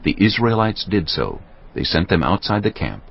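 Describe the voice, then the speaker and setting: A man narrating scripture from a script in a solemn, measured manner. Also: sits low, sing-song, creaky, slight background noise. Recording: slightly dull, muffled sound.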